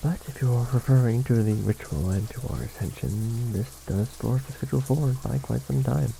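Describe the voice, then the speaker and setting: A man playing an ancient vampire lord in an ASMR romantic roleplay, formal and protective, with measured, theatrical diction. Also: mid-range voice, vocal fry, mellow, slight background noise, close to an everyday voice, poor audio. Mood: earnest, protective. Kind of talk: ASMR romantic roleplay, scripted character acting.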